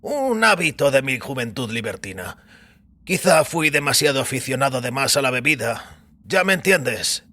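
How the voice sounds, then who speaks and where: A man voicing a weary, ceremonious old priest in audio fiction, raspy and creaky, slow and savoring as he instructs. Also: medium pitch, very animated, sharp tone, pitched above the performer's own voice. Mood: wistful. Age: older.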